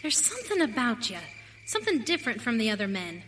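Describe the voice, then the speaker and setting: Lady doing hopeful frontier gal, american, folksy, high bright voice, western radio play. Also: sing-song, quick pace, a little hiss or hum. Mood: wistful, admiring. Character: Jean, hopeful young pioneer woman, wistful and gentle-natured. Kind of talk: live western radio theater acting.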